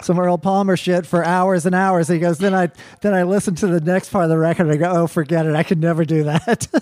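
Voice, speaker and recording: A man's voice: high voice, fairly even intonation, quick pace, darkish tone. A man hosting a music interview, chummy and stumbling, with many fillers and restarts as he sets up questions. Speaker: podcast host. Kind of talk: casual interview chat.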